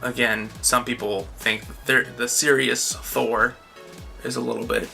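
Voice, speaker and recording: A man's voice: medium pitch, level tone, unhurried, creaky, pushed and bright, faint music behind. A man co-hosting a movie review in an informal, conversational style. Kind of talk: casual film discussion.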